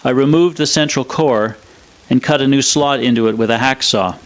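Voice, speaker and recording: A man giving scripted technical narration in a measured, matter-of-fact way, like a presenter of a restoration video. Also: mid-range voice.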